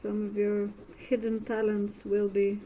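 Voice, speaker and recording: A woman from Bulgaria with a Bulgarian accent, a native Bulgarian speaker, teaching an art method in a casual demo and often pausing to search for words in English. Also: mid-range voice, completely flat delivery, muffled recording, a little hiss or hum. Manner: slow, halting, searching for words. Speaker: art instructor.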